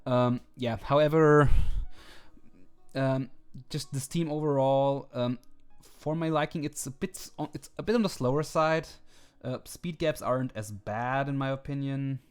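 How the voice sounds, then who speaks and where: A man hosting a video, talking casually with many fillers and restarts, his voice slightly hoarse. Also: mid-range voice, measured pace. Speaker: video host ranking teams. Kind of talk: casual commentary. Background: faint background music.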